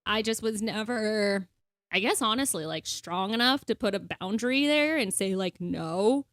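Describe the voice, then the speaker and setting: A woman's voice: mid-range voice, lively intonation, normal speed, a bit bright, no echo, studio quality. A young woman co-hosting a casual podcast, rambling with lots of fillers and frequent laughs. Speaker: podcast co-host.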